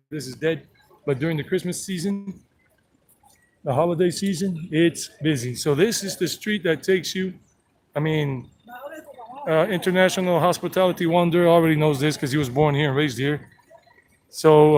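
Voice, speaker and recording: A man's voice: a little high, a little mellow, a little hiss or hum, low-quality recording. Chatty man on a street walk. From South Carolina, United States. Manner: chatty, rambling, jokey, talks to companions and passersby. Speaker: tour guide vlogger. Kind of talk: casual walking tour commentary. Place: outdoors on a town street and park.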